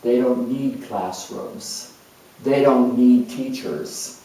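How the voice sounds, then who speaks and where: A man's voice: mid-range voice, very slow, mellow. A man playing an eccentric, scatterbrained, idealistic nonconformist in a rambling, emphatic theatrical monologue. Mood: earnest, reflective. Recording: very echoey room, far from mic.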